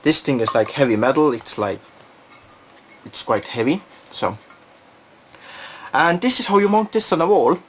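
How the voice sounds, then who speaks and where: A man's voice: fairly high pitch, animated, normal speed, pauses often, a little hiss or hum, rough recording. A man giving a casual, unscripted product demonstration, thinking aloud and hesitating as he goes. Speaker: product reviewer.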